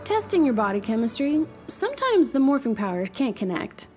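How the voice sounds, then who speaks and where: A blunt, no-nonsense young doctor, played by a woman in a fan audio drama. Her voice is fairly high and lively in pitch. A clear music score plays behind her. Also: a little fast. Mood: brisk.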